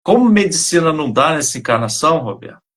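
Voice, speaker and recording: A man's voice: on the high side, big pitch swings, fast, fairly bright tone. A man hosting a live interview in a friendly way, with tag questions scattered through his speech. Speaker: live stream host.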